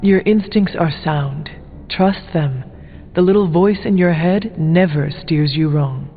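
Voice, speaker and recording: An actor playing an earnest warrior hero in a video game, talking in a formal, careful way. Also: male voice, fairly high pitch, slow pace. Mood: frank, supportive. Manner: formal, careful, avoids contractions. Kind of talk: video game character dialogue. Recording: muffled phone-like band, music behind.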